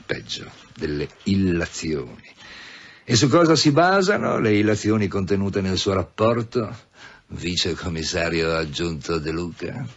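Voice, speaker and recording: An actor in an Italian radio drama playing a pompous senior police official, mock-polite and deliberate, with veiled menace. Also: male voice, sits low, a little hiss or hum. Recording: dull, muffled radio drama recording.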